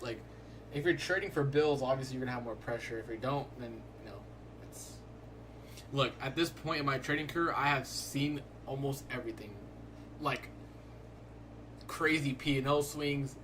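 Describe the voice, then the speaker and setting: Casual young man on a live stream, laid-back, says like a lot, average pitch. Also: a bit bright, a little hiss or hum, rough recording. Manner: laid-back, rambling, fills talk with "like". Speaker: livestreaming day trader.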